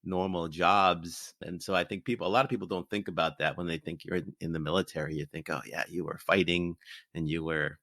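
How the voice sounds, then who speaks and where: A male podcast host interviewing a guest, asking thoughtful questions in a measured way with occasional filler words. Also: low voice, steady tone, a bit bright, no room sound, clean studio sound.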